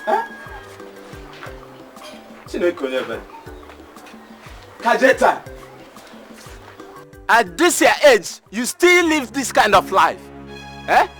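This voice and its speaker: A man's voice: very high voice, very animated, slow, pauses often, fairly bright tone, faint music behind, full character voice. An actor with a Nigerian accent playing a man who scolds and then begs for forgiveness. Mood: scolding. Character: scolding man who turns into a groveling apologizer. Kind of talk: film acting.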